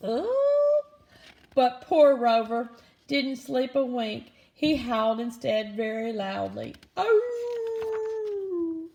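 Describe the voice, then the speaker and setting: Very animated woman reading a children's story. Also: sits high, drawn-out words, slight background noise. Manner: animated, expressive, with dramatic gasps and exclamations. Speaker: storybook reader. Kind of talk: read-aloud of a children's picture book.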